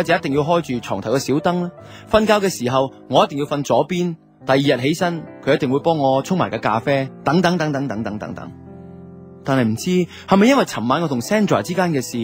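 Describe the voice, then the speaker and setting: Guy playing a laid back young hk cantonese man telling his love story, radio drama, very varied pitch, bit of music behind. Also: natural voice. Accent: Hong Kong Cantonese. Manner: colloquial, reflective storytelling. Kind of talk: first-person radio drama narration. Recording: music under the voice.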